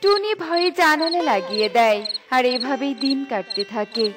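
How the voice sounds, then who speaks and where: A woman's voice: high voice, animated, moderate pace, loud music behind the voice. A woman reading scripted fairy-tale narration for a children's cartoon.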